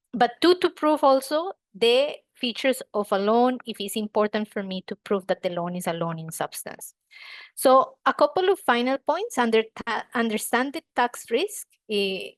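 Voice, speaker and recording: A woman's voice: mid-range voice, dry recording. A woman presenting a professional webinar in an explanatory, emphatic way, like a lecturer walking listeners through points. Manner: explanatory, emphatic, poses questions then answers them.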